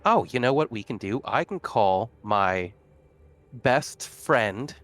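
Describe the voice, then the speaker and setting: A man's voice: medium pitch, animated, slow pace, fairly bright tone. A man playing a tabletop game, chatting casually and thinking aloud as he plans.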